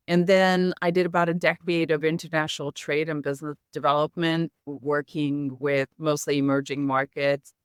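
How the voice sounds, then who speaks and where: A middle-aged woman with a near-American accent over her Hungarian first language, speaking in a measured, reflective way. Her voice is smooth and clear, recorded close and dry with no room sound. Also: deep voice, no echo. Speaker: guest, company founder. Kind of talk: podcast interview.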